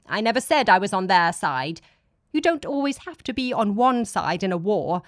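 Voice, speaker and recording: A woman's voice: medium pitch, sing-song, moderate pace, dry recording, lightly acted. A smug male vampire professor, played by a woman in an audiobook story. He is cheeky and flippant, tossing out remarks as if nothing matters. The recording is dry and close. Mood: cool, challenging. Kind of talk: dramatic audiobook character voice.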